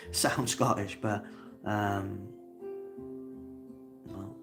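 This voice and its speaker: A man's voice: fairly low pitch, steady tone, creaky, music in the background. A man with a Welsh accent from Wales, hosting a solo livestream, talking earnestly with frequent hesitations and restarts. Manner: earnest, halting, many fillers and restarts. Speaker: channel host. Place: indoors, seated facing camera.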